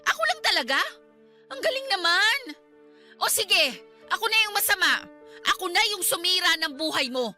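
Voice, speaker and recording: A woman's voice: very high voice, very animated, moderate pace, pauses often, bright, forceful tone, soft background music, full character voice. A woman playing a hurt, jealous wife in a Tagalog radio drama, nagging and pleading with emotion. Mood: hurt, angry. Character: hurt, jealous young wife confronting her husband.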